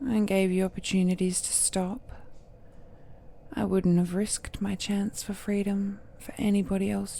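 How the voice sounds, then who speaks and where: Casting: woman as ancient cursed goddess, possessive romantic villain. Unhurried, mellow, intimate delivery that sinks into breathy whispers. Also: mid-range voice, a little hiss or hum. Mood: wounded, menacing. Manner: slow, intimate, often drops to whispers. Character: ancient cursed deity, possessive, tender yet menacing villainess. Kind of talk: romantic villain audio roleplay monologue.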